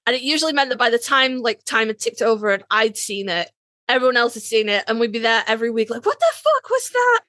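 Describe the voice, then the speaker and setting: Bright, high-voiced British woman chatting. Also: very animated, fast, no echo, clean studio sound. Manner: sweary, informal. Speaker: podcast co-host. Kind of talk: casual chat.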